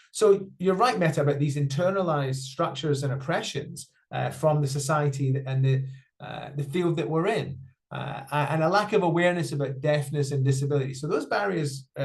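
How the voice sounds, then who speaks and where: A man with a fairly high voice voices sign language speakers for a panel. His delivery is halting and full of fillers and restarts as he keeps pace with the signers. The sound comes through a video call. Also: a little mellow. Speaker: sign language interpreter voicing deaf panellists. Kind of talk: spoken interpretation of a panel discussion.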